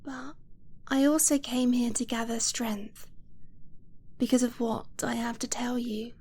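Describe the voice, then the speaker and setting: A woman playing an affectionate, nervous dragon-blooded girlfriend in a romantic ASMR roleplay, soft and breathy, often slipping toward a whisper with gentle hesitation. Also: fairly high pitch, steady tone. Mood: nervous, earnest. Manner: slow, gentle, hesitant, intimate.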